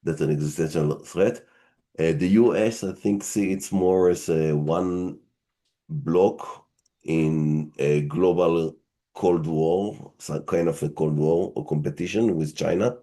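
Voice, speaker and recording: A middle-aged man with an Israeli accent, speaking as a guest on a panel and explaining things in a measured way with halting fillers. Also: deep voice, steady tone, warm tone. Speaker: guest, science fiction writer. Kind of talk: panel discussion.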